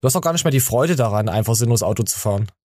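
A man's voice: medium pitch, expressive, very fast, a little mellow, a little hiss or hum. A man hosting a podcast, joking and teasing in casual chat, with many fillers and restarts. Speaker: podcast host.

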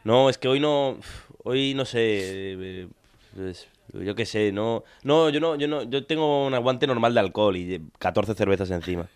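A young man from Argentina co-hosting a podcast, chatting casually in a rambling, joking way, with quick asides and laughs. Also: on the low side, clean tone. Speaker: podcast co-host. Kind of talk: casual banter.

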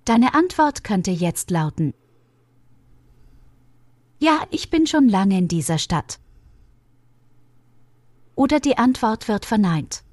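Brief: woman lesson co-presenter, measured scripted delivery, lively pitch, dry close sound. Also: no room sound. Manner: measured, instructive.

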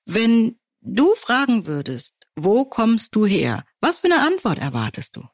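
A woman from Germany co-hosting a podcast, telling stories wryly and quoting people in lively voices. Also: on the high side, unhurried, dry recording, clean studio sound. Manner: wry storytelling, quoting others in lively voices. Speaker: podcast co-host. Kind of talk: casual conversation.

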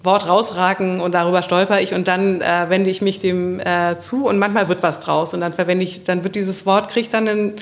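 A woman's voice: fairly low pitch, steady tone, moderate pace, few pauses. A woman in a reflective intellectual discussion, thinking aloud and often correcting herself as she searches for the right wording.

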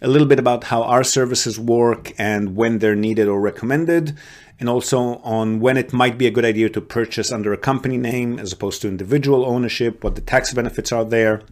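A man hosting and advising on property investment, talking through loans in an explanatory way with frequent ums and restarts. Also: mid-range voice. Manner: explanatory, fills pauses with um and uh, restarts phrases. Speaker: real estate advisor and podcast host. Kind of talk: business call recording, casual advisory.

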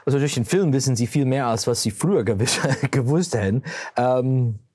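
A man's voice: medium pitch, normal speed, no room sound, clean studio sound. Man speaking learned German. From Pennsylvania, USA. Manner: searching for words, animated. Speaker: guest. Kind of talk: interview answer.

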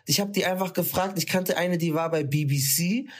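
A man's voice: high voice, normal speed. A man in a casual conversation, chatting freely and slipping in English words. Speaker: interviewee.

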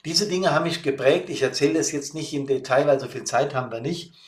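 A man's voice: fairly high pitch, quick pace, slightly rough. Chatty man in his sixties. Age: 60s. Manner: chatty, warm-hearted, with frequent fillers. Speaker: podcast host and fire service trainer. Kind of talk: casual podcast talk and live session.

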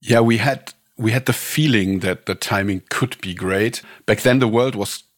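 A man's voice: on the low side, normal speed, no room sound, clean studio sound. A man, a venture executive guest, recalling past experience in a reflective way with restarts and fillers. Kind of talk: casual podcast storytelling.

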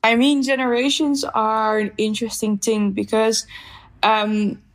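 A young woman speaking in a podcast interview as a guest expert, talking in a thoughtful, measured way with frequent fillers. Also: a little high, flat delivery. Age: young adult. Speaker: youth activism guest expert.

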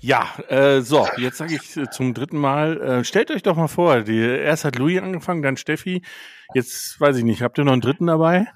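Male podcast host, mid pitch, chatty, teasing, dry close mic. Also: moderate pace, no room sound. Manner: chatty, teasing, hesitant starts. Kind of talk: casual podcast chat.

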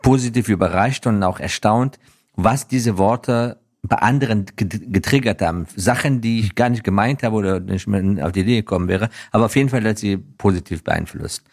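This is a man from Afghanistan with a low voice, answering as a guest in an interview. He talks in an earnest, reflective way. His sentences halt and restart as he searches for the next phrase. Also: normal speed, gravelly, a little mellow. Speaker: interview guest, business professional.

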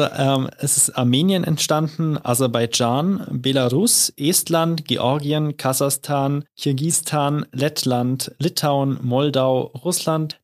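A man co-hosting a podcast, asking curious questions in a hesitant, filler-laden way. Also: mid-range voice. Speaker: podcast co-host. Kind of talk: interviewing conversational.

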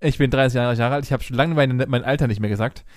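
A man's voice: medium pitch, quick pace, no echo, clean studio sound. A man in his thirties chatting casually, rambling through stories with many fillers, restarts and frequent laughs. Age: 30s. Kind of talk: casual chat.

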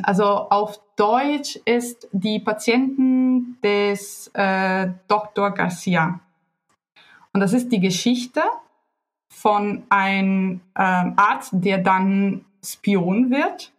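Podcast guest: woman, unhurried and hesitant, mid-range voice. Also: mid-range voice. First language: Italian. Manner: hesitant, searching for words with many fillers. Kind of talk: casual conversation.

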